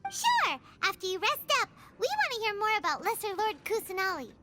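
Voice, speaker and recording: Squeaky tiny girl companion. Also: wildly swooping pitch, fairly quick, loud music behind the voice, heavily acted. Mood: excited. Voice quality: squeaky, childlike. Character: tiny cheerful fairy-like girl companion, excitable and outspoken. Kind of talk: anime-style game voice acting.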